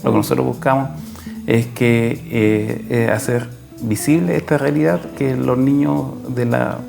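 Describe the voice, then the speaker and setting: A Chilean man gives interview answers in a mid-pitched voice full of gravelly creak. He explains haltingly, rephrasing as he goes, over clearly audible background music. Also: fairly even intonation. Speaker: social worker and program coordinator, guest.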